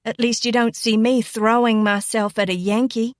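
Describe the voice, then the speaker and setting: A woman voicing a small, quietly defiant younger cousin in an audiobook, speaking calmly and pointedly. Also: on the high side, moderate pace, no echo, studio quality, lightly acted. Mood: cool, defiant. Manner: calm, casual, pointed. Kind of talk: audiobook character acting.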